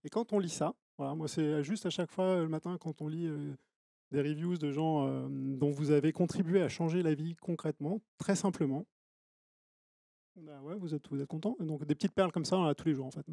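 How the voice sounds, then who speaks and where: Gravelly-voiced man on a panel. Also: fairly high pitch, a little fast, mellow, dry recording. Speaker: app founder. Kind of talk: panel discussion.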